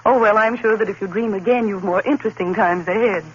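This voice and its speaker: A woman's voice: medium pitch, fast, natural voice. A woman playing an anxious, devoted sweetheart, solicitous and pleading, in a 1950s broadcast drama on an old recording. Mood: concerned, soothing. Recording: muffled, noisy early 1950s broadcast recording.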